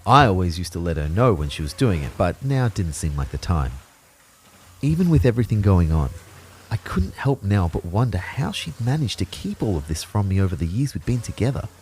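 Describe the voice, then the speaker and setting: A man acting as an anxious, introspective narrator in an audio drama, telling his own story in a low voice. His delivery is wry and reflective, with lively swings in pitch. There is some faint noise behind him. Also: a little fast, rough recording. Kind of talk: first-person scripted audio drama narration.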